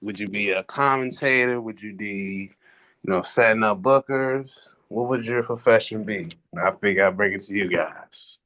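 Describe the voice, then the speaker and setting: A man co-hosting a wrestling podcast in casual chat, with a smooth, laid-back way of talking. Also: lowish voice, normal speed, fairly bright tone, dry recording, lo-fi sound. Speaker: podcast co-host, commentator.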